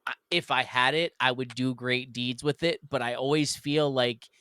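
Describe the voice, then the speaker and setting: Male podcast host, smooth clear voice, easygoing and agreeable. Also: medium pitch, steady tone, normal speed, bright, forceful tone, no room sound. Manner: agreeable, laughs along easily. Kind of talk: casual chat.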